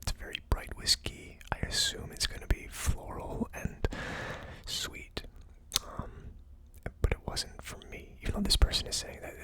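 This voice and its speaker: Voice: moderate pace, rough recording. A person whispering in an ASMR style as host of a tasting video, with a tentative, musing delivery. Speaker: host of a whiskey tasting series.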